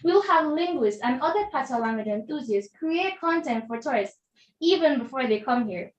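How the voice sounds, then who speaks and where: A woman's voice: high-pitched, animated, normal speed, muffled recording. A young Jamaican woman giving a formal, emphatic debate speech, rhetorically addressing the judges. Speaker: debater, deputy prime minister speaker. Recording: echoey room.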